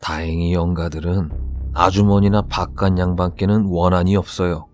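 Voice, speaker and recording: Man acting a low-voiced, knowing shaman. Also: little pitch movement, normal speed, darkish tone, lightly acted. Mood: grave, knowing. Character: sly, candid shaman confiding about vengeful ghosts. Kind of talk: dramatized horror radio story.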